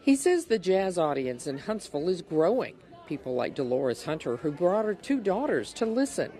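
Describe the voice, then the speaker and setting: A woman's voice: fairly low pitch, very animated, normal speed, mellow. A woman reporter reading a scripted television news feature in a narrator's style.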